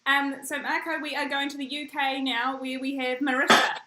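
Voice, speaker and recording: A woman's voice: sits high, level tone, moderate pace, reverberant, noisy background. A woman hosting a casual video-call interview, enthusiastic and giggly. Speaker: host.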